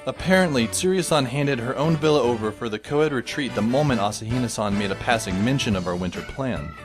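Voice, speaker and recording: A man's voice: medium pitch, lively intonation, a little fast, music in the background. A man narrating a story in the first person with a dry, sardonic manner.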